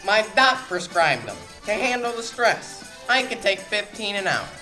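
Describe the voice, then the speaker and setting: Guy acting a stressed out blustery mob boss, bright tense voice, melodramatic, music underneath, audio comedy. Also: high voice, very animated, unhurried, full character voice, rough recording. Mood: panicked. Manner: exasperated, melodramatic mobster talk. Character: stressed, blustering male mob boss secretly running a pizzeria.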